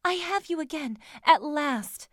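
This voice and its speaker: A woman voicing an anxious, timid young woman in a storybook romance, soft and fretful in manner. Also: high-pitched, sing-song, slow, dry recording, clean studio sound, pitched above the performer's own voice, full character voice. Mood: yearning. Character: anxious, timid young woman meeting her lover secretly.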